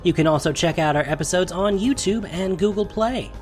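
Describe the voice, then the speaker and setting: A man's voice: sits high, fairly quick, soft background music. A man reading scripted closing credits as the announcer of a podcast. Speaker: outro announcer.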